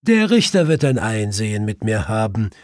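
A man's voice: lowish voice, very animated, moderate pace, no room sound, clean studio sound. A man voicing a self-assured, wry detective who gives confident commands in an audiobook.

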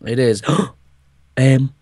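A man's voice: mid-range voice, steady tone, extremely slow, dark tone, no echo. A young man in an improvised game roleplay, speaking hesitantly with many ums and frequent laughs. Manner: hesitant, fills gaps with ums, laughs a lot. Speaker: roleplay co-player. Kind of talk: improvised game roleplay, voiced over gameplay.

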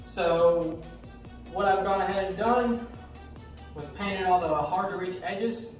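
A man's voice: high voice, slow. A young man casually walking through the steps of a woodworking job, plainly and step by step, with fillers, filmed on a phone in a large shop. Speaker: woodworker demonstrating. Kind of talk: casual how-to walkthrough. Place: large woodworking shop and spray booth, indoors and out. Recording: echoey shop with music behind.

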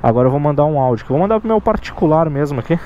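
A man's voice: fairly high pitch, expressive, racing, dark tone, highs cut off, slight background noise. A Brazilian man giving a casual, conversational tutorial to his viewers, often checking in with short tag questions. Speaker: motorcycle courier video host.

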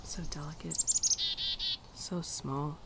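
A woman playing a gentle, teasing winter spirit in a girlfriend ASMR roleplay, speaking softly and tenderly, often dropping to a near-whisper. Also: low-pitched, steady tone, takes it slowly, very noisy. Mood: tender, fond. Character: gentle, teasing female winter spirit, affectionate old friend. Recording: birdsong and outdoor ambience behind.

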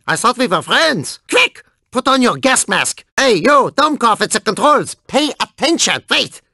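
An actor playing a vain, whiny German cyborg theme park owner in a cartoon video game, with a theatrical, exclamatory delivery and a German accent. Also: male voice, sits high, vocal fry, pushed and bright, dry recording, exaggerated voice. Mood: indignant. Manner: theatrical, whiny, exclamatory, swinging from muttering to shrieking.

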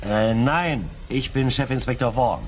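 A man's voice: mid-range voice, a little slow, a little hiss or hum, lightly acted. An actor playing a probing, insistent male chief police inspector in a German radio crime drama.

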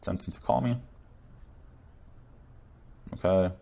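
A man's voice: low-pitched, takes it slowly, creaky, warm tone. A young man presenting a coding tutorial in a casual screencast style. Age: young adult.

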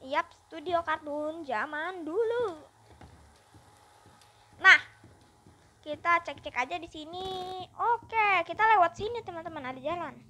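A gaming YouTuber giving upbeat, chatty let's-play commentary and constantly addressing the viewers. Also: female voice, extremely high pitch, animated, a little slow, pauses often, sharp tone, a little hiss or hum.

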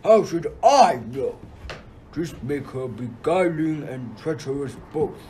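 A man's voice: fairly high pitch, expressive, slow pace, a little mellow, a little hiss or hum. A man playing a wrathful, spiteful king of the gods in a radio play, imperious and irritable. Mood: commanding, irritable. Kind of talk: amateur radio play acting.

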